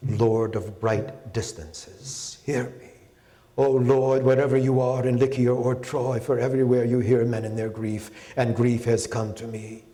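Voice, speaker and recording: A man's voice: mid-range voice, little pitch movement, unhurried, mellow, close to an everyday voice. A man voicing a wounded, grieving warrior in an epic poem, pleading in an impassioned, declamatory way. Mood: grieving plea. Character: wounded, grieving warrior praying and rebuking his lord. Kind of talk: dramatic epic poetry reading. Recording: echoey hall with long reverb.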